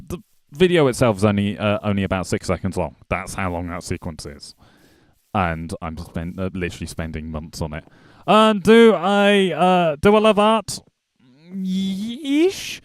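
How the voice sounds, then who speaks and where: A man's voice: lowish voice, no echo. A man casually commenting on his gameplay as a streamer, chatting to his viewers and joking with the animals in the game. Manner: chatty, jokey, talks to chat and game animals.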